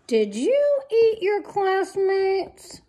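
A woman voicing a stern but patient father dinosaur, gently scolding in an emphatic, reproving storybook manner. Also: very high voice, wildly swooping pitch, drawn-out words, voice pushed up, exaggerated voice.

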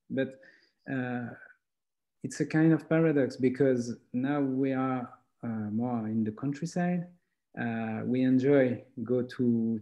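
Slow, halting man. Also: medium pitch, warm tone. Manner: searching for words, halting. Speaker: graphic designer. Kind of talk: casual chat. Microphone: video call. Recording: dull lo-fi call audio.

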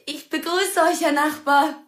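A woman's voice: high-pitched, steady tone, measured pace, fairly bright tone. A woman acting a frightened, heartless neighbour in a German radio play, anxious, insistent and repetitive. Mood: fearful, watchful. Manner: anxious, insistent, repeating herself.

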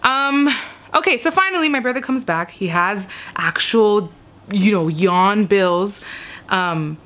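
A woman's voice: medium pitch, animated, slow pace, background noise. A woman in her twenties from the United States, chatting to a handheld camera as she tells a travel story with lots of fillers. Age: 20s. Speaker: travel vlogger. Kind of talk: casual vlog storytelling to camera. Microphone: handheld camera held close.

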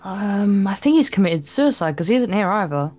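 A woman's voice: medium pitch, sing-song, normal speed, darkish tone. A woman reading visual novel lines aloud in a casual let's play, with sarcastic, irreverent asides. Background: game music.

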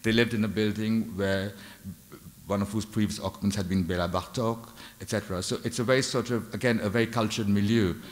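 A man giving an unscripted art history lecture from a lectern, talking in a halting way with many fillers and restarts. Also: fairly low pitch, steady tone. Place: large dark lecture hall. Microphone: lectern microphone. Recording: long hall reverb.

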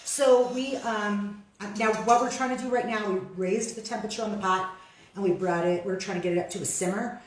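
A woman's voice: mid-range voice, a little fast. Older woman hosting a kitchen demo. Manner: chatty, explains steps as she cooks. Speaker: cooking show host. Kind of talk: casual cooking demo chat. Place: home kitchen, indoors. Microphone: far camera mic. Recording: echoey kitchen, mic at a distance.

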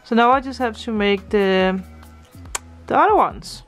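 Female vlogger, relaxed slow delivery, muttering through a home fix-up over background music. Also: on the high side. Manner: thinking aloud while fitting drawer knobs. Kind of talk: casual DIY vlog talk. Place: indoors at home.

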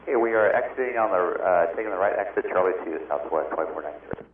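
A man's voice: low voice, animated, fast, recorded from a distance. A man working as an airline pilot, giving clipped readbacks over aviation radio. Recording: tinny, noisy, echoey cockpit radio.

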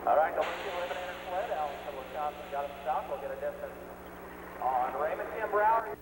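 A man announcing a tractor pull over a public address system, drawing out names and distances. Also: on the high side. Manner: drawn-out PA calls of names and distances. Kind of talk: live event announcing over PA. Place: outdoor fairground track. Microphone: public address system recorded by camcorder. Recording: echoey PA heard from afar, loud outdoor noise. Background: engines and crowd ambience.

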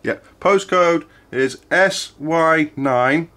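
A man's voice: a little high, extremely slow. A man making a casual, hesitant phone call as a caller. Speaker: prank caller. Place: indoors, kitchen worktop. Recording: loud power drill noise over voice. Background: loud electric drill, clicks.

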